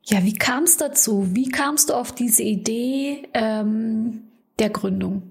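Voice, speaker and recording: A woman's voice: a little high, takes it slowly, gravelly. Friendly curious woman hosting a podcast. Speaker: podcast host. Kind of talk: interview hosting.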